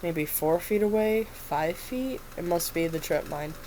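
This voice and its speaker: A woman's voice: lowish voice, unhurried, a little mellow, a little hiss or hum, natural voice, low-quality recording. A woman with a stuffy nose reading the part of a weary male doctor telling his story in first person. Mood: wry.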